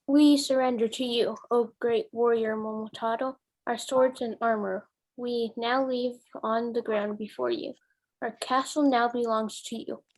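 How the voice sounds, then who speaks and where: A woman's voice: fairly high pitch, fairly even intonation, unhurried, darkish tone, poor audio. A woman playing a haughty ogre king with pompous, declamatory delivery in a folktale reading. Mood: defeated, solemn.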